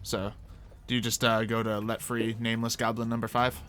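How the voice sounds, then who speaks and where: A man's voice: mid-range voice, flat delivery, a little fast, fairly bright tone. A man running a tabletop roleplaying game as game master, narrating turns and rulings casually. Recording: faint music underneath. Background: faint background music.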